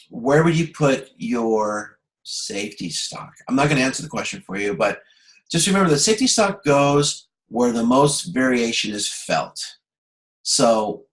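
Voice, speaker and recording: Male online instructor, medium pitch, informal lecture delivery, halting and explanatory. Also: a bit bright, low-quality recording. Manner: halting, explanatory, checks understanding with okay. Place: video conference.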